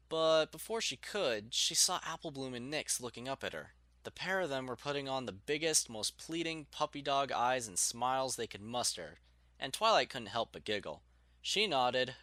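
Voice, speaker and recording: Bright, animated male story narrator. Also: mid-range voice, no room sound.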